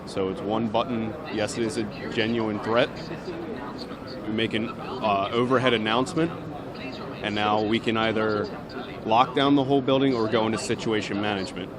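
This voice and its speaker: Lowish-voiced man demonstrating amid crowd noise. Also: fairly even intonation, normal speed. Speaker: product demonstrator. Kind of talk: unscripted step-by-step product demo. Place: indoor trade show booth. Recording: busy trade show crowd behind.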